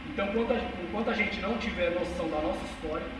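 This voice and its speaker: A young man with a São Paulo interior accent speaks with fervent, emphatic conviction, dropping street slang as he addresses a small crowd. His voice sits high, and it comes from far off in a big echoing hall with a long reverb tail. Steady noise hums under the whole recording. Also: rapid-fire. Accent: São Paulo interior Brazilian Portuguese. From Brazil. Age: 20s. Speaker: speaker giving a talk. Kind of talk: passionate live talk to a small audience. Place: cultural center hall.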